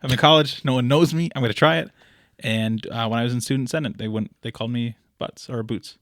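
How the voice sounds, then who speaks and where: A man co-hosting a podcast, telling a rambling story in casual chat with deadpan jokes. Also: medium pitch, quick pace, no echo, studio quality. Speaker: podcast co-host.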